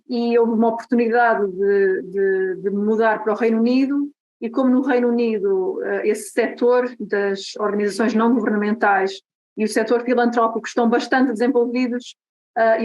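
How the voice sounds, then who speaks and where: A woman's voice: fairly high pitch, a little mellow, poor audio. A woman from Portugal with a European Portuguese accent, presenting her work at an online conference over a video call, friendly and explanatory with frequent hesitations. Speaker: guest speaker.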